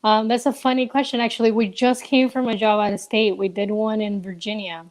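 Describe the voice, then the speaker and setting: A woman's voice: mid-range voice, little pitch movement, brisk. A young woman from Ecuador, a business co-owner, answering interview questions casually and hesitantly, with many fillers and restarts, over a remote call.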